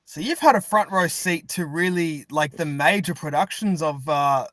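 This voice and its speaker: A man co-hosting a casual interview, speaking haltingly and often repeating words as he searches for phrasing. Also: fairly high pitch, a bit bright, no room sound, clean studio sound. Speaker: podcast co-host.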